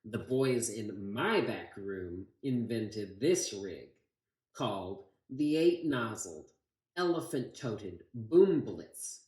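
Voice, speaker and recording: A man's voice: fairly low pitch, animated, slow, darkish tone, roomy sound, poor audio. A man voicing a mean, boastful enemy soldier from a storybook, in a taunting, menacing manner. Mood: boastful threat.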